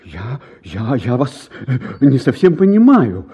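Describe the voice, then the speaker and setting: An actor playing a flustered, evasive young bachelor in a theatrical radio play, stammering and hesitant. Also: male voice, mid-range voice, wildly swooping pitch, unhurried, mellow, husky, exaggerated voice. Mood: awkward, evasive. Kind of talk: theatrical radio drama acting.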